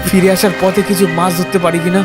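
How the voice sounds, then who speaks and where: A man's voice: high-pitched, little pitch movement, fast talker, voice pushed up, natural voice. A man voicing an earnest, determined village man in a cartoon story, over music and storm sounds. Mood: grave, concerned. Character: earnest, determined village man, Somnath, urging his friend. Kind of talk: cartoon story character acting.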